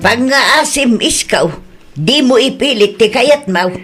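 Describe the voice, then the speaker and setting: A woman's voice: on the high side, slow, loud music behind the voice. A woman playing a worried middle-aged village wife and mother who nags and pleads with her stubborn husband. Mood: scolding. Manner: nagging, pleading, scolding. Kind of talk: audio drama acting. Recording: rain and ambience noise behind. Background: steady rain.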